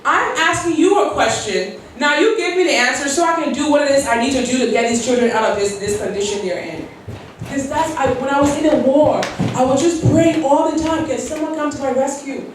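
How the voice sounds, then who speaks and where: Woman in her 40s from liberia giving a keynote, earnest storytelling, kinda high voice, heavy echo. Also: brisk, a little hiss or hum. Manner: earnest, storytelling, with quoted prayers and light laughs. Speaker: keynote speaker. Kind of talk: personal speech at an event. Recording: very echoey hall, voice from far off.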